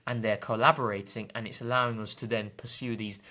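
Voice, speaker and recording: A man's voice: on the low side, little pitch movement, fairly quick, pushed and bright. A man speaking as a podcast guest and football fan, informal and discursive, repeating words as he thinks aloud.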